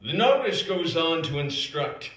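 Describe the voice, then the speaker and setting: An American man performs his own comic true story in an echoing live room. He takes his time, with dramatic pauses and lively swings in pitch. His delivery is wry and self-deprecating. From United States, South Dakota. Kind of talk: scripted comic personal narrative performed live. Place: indoor performance space at an acting institute. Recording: roomy, echoing live stage recording.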